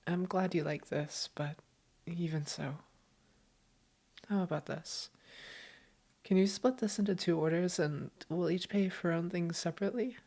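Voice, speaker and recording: Low-voiced doting girlfriend, acted by a woman. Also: moderate pace, warm tone. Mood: gently apologetic. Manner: affectionate, reassuring. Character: doting, teasing girlfriend, gentle and attentive. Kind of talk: roleplay audio acting, intimate conversational.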